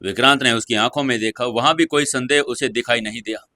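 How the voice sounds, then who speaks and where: A man's voice: mid-range voice, brisk, pushed and bright, no room sound. A man narrating a scripted audio story in a dramatic storytelling style, close on the mic.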